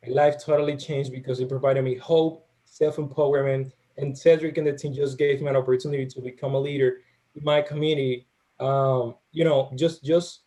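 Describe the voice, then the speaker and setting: A man's voice: medium pitch, warm tone. A young man from the Dominican Republic, Spanish his first language, earnestly telling his story as a program manager on a video call, often restarting.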